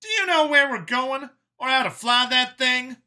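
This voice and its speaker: Man playing anxious male companion, high voice, sharp tone, eager, urgent, audiobook. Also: moderate pace, exaggerated voice. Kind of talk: audiobook character voice in science fiction story.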